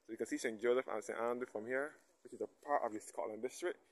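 A man giving a relaxed guided walking tour, recorded on a handheld camera as he moves from a churchyard into a large church. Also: medium pitch, fast talker. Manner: relaxed, pointing things out as he walks. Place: outdoors in a churchyard, then inside a large church.

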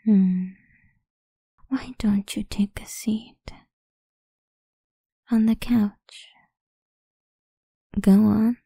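Woman acting a breathy, whispering seductive vampire idol. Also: medium pitch, fairly even intonation, warm tone, no room sound, studio quality, heavily acted. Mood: coaxing, seductive. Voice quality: breathy, husky, often whispering. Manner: slow, teasing, coaxing. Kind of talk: ASMR roleplay, intimate and whispered. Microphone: close studio-style microphone, dry.

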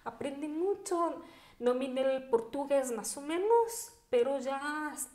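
A woman's voice: high voice, expressive, takes it slowly, a little mellow. A woman in her thirties with a Mexican Spanish accent, telling personal stories emphatically as a podcast guest. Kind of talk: conversational interview, personal storytelling. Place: indoor dining room. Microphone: podcast microphone on table stand. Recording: echoey room.

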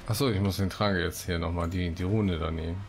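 A man's voice: low-pitched, fairly even intonation, fairly quick, a little mellow, faint music behind. A man giving casual let's play commentary and reading quest text aloud, with playful colloquial asides. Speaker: gaming video host.

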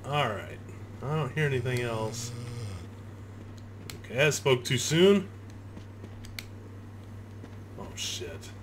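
A man records a casual gaming playthrough, muttering to himself and swearing freely. His pitch swings widely and his pace is unhurried. Clear noise and game ambience sit behind the voice. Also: sing-song. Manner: informal, profane, talking to himself. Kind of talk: casual reactive video game commentary.

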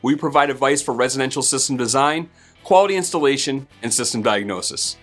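A man in his thirties hosting a technical training video, speaking in a scripted, deliberate way straight to camera from a home office. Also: medium pitch, a bit bright, soft background music. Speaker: host and HVAC trainer. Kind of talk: scripted instructional explainer.

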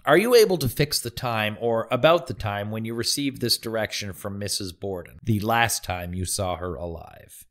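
An actor playing a prosecuting attorney in an audio drama, questioning a witness in a formal, methodical way. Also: male voice, lowish voice, expressive, normal speed, hardly any pauses, fairly bright tone. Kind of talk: audio drama reenactment of trial testimony.

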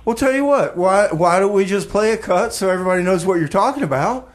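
Male radio co-host, notably high voice, casual comic banter, loose everyday wording. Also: animated, fast.

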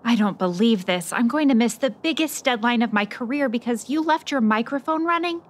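A woman's voice: a little high, animated, a little fast, close to an everyday voice. A woman playing an impatient, sarcastic newspaper reporter in an old-time noir radio drama. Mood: exasperated.

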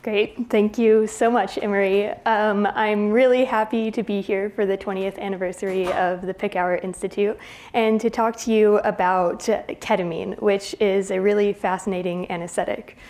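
A woman's voice: medium pitch, steady tone, moderate pace, a little mellow, a little hiss or hum. Young woman giving a conference talk. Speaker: PhD student presenting research. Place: lecture hall at a podium. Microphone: podium microphone.

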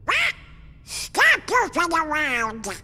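A man's voice: extremely high pitch, wildly swooping pitch, very slow, sharp tone, full character voice. A man voicing a hot-tempered male cartoon duck with a quacking, bossy and impatient delivery. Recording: long hall reverb with music.

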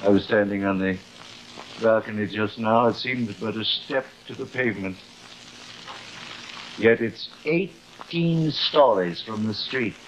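A man's voice: fairly low pitch, unhurried, pauses often. A man playing a middle-aged, guilt-tormented stage actor in a grandiose, theatrical old television drama manner. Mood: distracted, sardonic. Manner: declamatory, dramatic. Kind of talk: theatrical 1950s television acting. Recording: muffled, hissy old television soundtrack.

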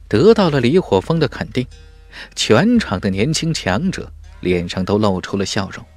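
A man's voice: lowish voice, very animated, moderate pace, a little mellow, no room sound. A man narrating a fantasy audiobook in a dramatic, emphatic storytelling manner. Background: music.

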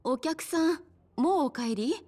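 A woman voicing a composed, mysterious bar madam in a Japanese video game, speaking politely and softly feminine in phrasing. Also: sits high, a little slow. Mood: gracious.

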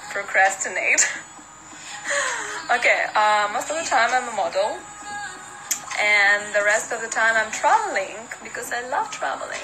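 A woman's voice: fairly high pitch, poor audio. A young woman from Russia with a Russian accent, casually chatting with viewers and reading out their comments on a phone livestream from her living room. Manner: relaxed, chatty, laughs often, answers comments one by one. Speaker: model livestreaming to fans. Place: living room of an apartment, indoors. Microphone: phone at arm's length. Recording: phone livestream with music behind. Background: music playing.

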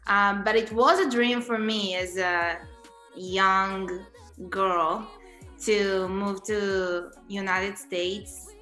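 A young woman from Israel, Hebrew her first language, speaking English with an Israeli accent, answering interview questions softly with many ums over a video call from her living room. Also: mid-range voice, fairly even intonation, extremely slow, roomy sound, soft background music. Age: young adult. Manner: soft-spoken, with frequent ums and laughs. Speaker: guest singer.

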